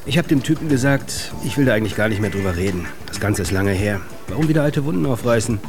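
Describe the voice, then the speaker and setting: Jaded ex record exec, kinda low voice, wry, radio play, loud music under it. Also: male voice, expressive, fast. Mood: jaded, matter-of-fact. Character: jaded male former music industry executive looking back. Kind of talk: radio drama monologue, interview-like. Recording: loud music and street sounds behind voice.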